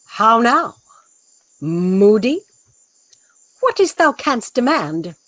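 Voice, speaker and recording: Woman doing bossy sorceress master in a shakespeare audio play, theatrical, big pitch swings, really dry close sound. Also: very animated, fairly bright tone, no room sound, full character voice. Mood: stern, rebuking. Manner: theatrical, deliberate, scolding. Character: commanding, imperious sorceress master, quick to scold. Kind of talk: Shakespearean radio play acting.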